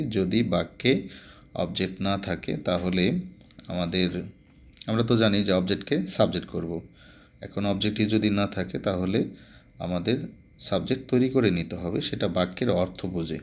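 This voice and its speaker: A man's voice: low-pitched, moderate pace. A man with a Bangladeshi accent explaining grammar step by step as a teacher, mixing English terms into his speech.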